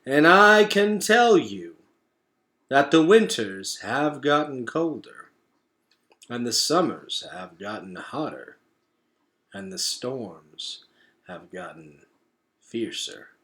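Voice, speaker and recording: Guy doing a really old wise turtle from a storybook, grave, slow n deliberate, big pauses. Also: mid-range voice, very animated, a bit bright.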